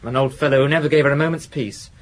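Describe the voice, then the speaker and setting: A man's voice: mid-range voice, fast, lightly acted. An actor playing a sullen, evasive man in his late twenties, a defensive gigolo and addict in a radio drama. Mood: evasive, bitter.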